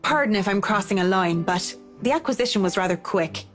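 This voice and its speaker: An actress playing a polite but frayed professional woman in a visual novel, speaking in a formal, measured way. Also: medium pitch, animated, lightly acted. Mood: polite, businesslike. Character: Marianne, polite but frayed female interior designer. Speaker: interior designer character. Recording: music under the voice.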